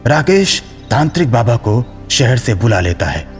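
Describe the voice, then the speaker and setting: A man's voice: mid-range voice, big pitch swings, moderate pace, long reverb tail, soft background music. A man giving scripted narration for a horror story.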